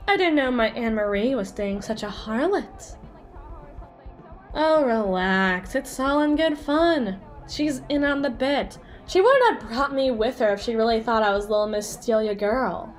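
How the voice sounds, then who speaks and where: Woman acting a high-pitched, teasing yandere girl. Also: lively intonation, faint music behind. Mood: sly, taunting. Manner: teasing, sassy, theatrical, drawn-out emphasis. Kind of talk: ASMR roleplay monologue addressed to the listener.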